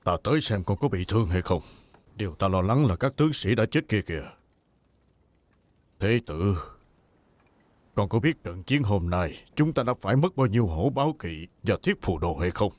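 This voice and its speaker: A man's voice: on the low side, animated, moderate pace, pauses often, dry recording, natural voice. A man dubbing a proud, stern veteran warlord in a historical war drama, grave and admonishing. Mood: reproachful. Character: stern, proud veteran male warlord mentoring his heir. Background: music.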